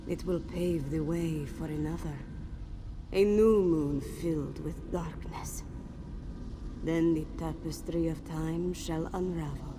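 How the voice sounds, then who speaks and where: A solemn cat-woman sage from a fantasy video game. Her voice sits fairly low and moves slowly, weighty and enigmatic as she speaks like an oracle. Clear background noise runs under her. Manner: solemn, cryptic, speaks of self in third person. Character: grave, mystical Khajiit cat-woman warrior sage.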